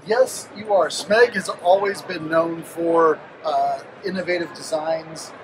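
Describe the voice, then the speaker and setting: A man interviewing on a trade show floor, asking upbeat, drawn-out questions with filler uhs into a handheld mic. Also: on the high side, background noise. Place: busy indoor convention hall.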